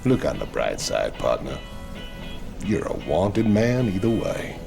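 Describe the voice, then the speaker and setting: An actor playing a huge, cocky ex-convict outlaw in a game cinematic, gruff American drawl, swaggering and teasing. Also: male voice, sing-song, a little slow, music in the background, full character voice. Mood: amused. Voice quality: very deep gravelly drawl. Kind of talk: video game cinematic acting.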